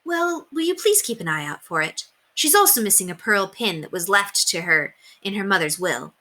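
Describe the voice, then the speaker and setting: Storybook mother, read by a woman: caring, patient, reassuring, with lively intonation. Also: medium pitch, normal speed. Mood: concerned. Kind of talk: children's storybook read-aloud.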